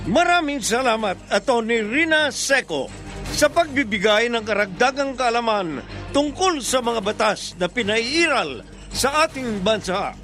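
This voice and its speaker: High-voiced Filipino male announcer, slow delivery. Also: expressive, over music. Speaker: radio drama announcer reading credits. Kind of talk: scripted radio announcing.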